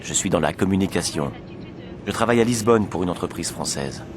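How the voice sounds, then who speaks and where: A man acting in scripted language-course dialogue as a polite, sociable businessman with clear delivery. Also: deep voice, lively intonation, brisk, background noise. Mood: friendly, curious. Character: polite, sociable businessman making small talk.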